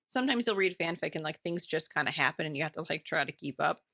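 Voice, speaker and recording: Creaky, bright-voiced woman chatting casually. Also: deep voice, expressive, fast, no echo, clean studio sound. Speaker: podcast co-host. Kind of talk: casual book club chat.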